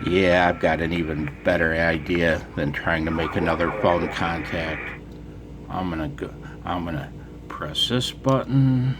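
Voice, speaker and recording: A man's voice: sits low, measured pace, noisy background. An American man talking off the cuff in a casual vlog as an amateur radio operator, with a wry, self-deprecating manner.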